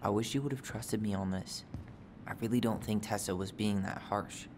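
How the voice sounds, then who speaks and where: A man's voice: fairly low pitch, normal speed, noisy background, natural voice. An actor playing a guarded, wry young man in a naturalistic video game performance. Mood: puzzled, probing. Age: young adult.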